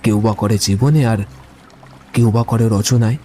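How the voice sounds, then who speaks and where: A man playing a romantic, brooding poet in a literary audio drama, speaking in a reflective, measured, lyrical way. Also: lowish voice, steady tone, dark tone, slight background noise, close to an everyday voice. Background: music.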